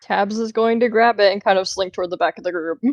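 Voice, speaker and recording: A woman's voice: mid-range voice, fast talker, dry recording, muffled recording. A woman chatting casually as a player in a tabletop role-playing game. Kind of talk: casual chat.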